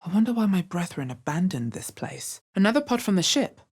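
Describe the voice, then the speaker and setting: A woman playing a wary, hard-bitten young adventurer in a fantasy video game, with a deep, husky voice and a guarded, terse delivery. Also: very animated, brisk, darkish tone, heavily acted. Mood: guarded, observant. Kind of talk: scripted video game character voice lines.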